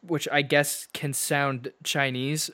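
A man's voice: a little high, level tone, takes it slowly, bright, forceful tone, no room sound. A young man co-hosting a podcast, chatting casually about music, rambling with lots of "like" and laughing easily. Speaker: podcast co-host.

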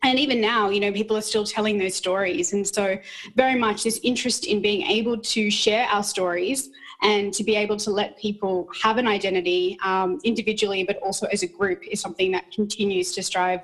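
A woman's voice: medium pitch, steady tone, fairly quick, fairly bright tone, poor audio. A young woman from Australia, a teacher, talks about her path as a podcast guest. Her delivery is earnest and sincere. She often repeats a word while she gathers her thoughts. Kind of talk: podcast interview.

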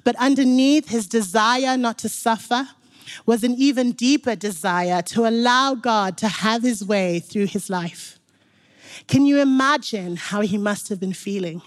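A woman's voice: fairly high pitch, a little slow. A young woman from Zimbabwe giving an earnest, encouraging sermon, speaking directly to the congregation. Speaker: preacher.

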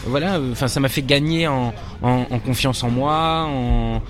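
French guy, young actor-director, lots of euh and restarts, mid-pitched voice. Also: moderate pace. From France. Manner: hesitant, many fillers and restarts. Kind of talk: interview answers.

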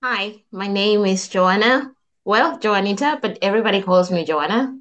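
A woman's voice: medium pitch, normal speed, fairly bright tone. A woman, a nursing student and podcast guest, who talks casually with earnest restarts and self-corrections. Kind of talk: casual interview talk.

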